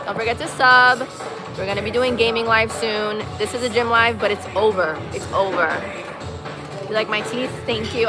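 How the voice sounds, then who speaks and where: Young woman, light Puerto Rican American accent, casual livestream chat, slangy, opinionated, clear music in the background. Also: on the high side. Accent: Puerto Rican-tinged American. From Massachusetts, USA. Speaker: live streamer. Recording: music playing behind.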